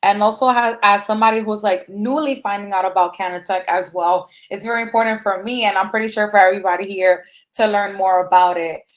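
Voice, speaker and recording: Mid-pitched woman moderating casually. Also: steady tone, brisk, hardly any pauses, rough recording. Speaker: panel moderator. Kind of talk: casual hosting.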